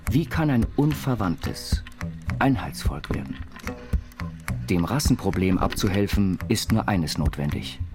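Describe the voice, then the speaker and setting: Slow, very deep-voiced visionary inventor. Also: male voice. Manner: measured, deliberate, declamatory listing. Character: eccentric male utopian inventor reading his writings. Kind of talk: slow spoken-word reading in an audio drama.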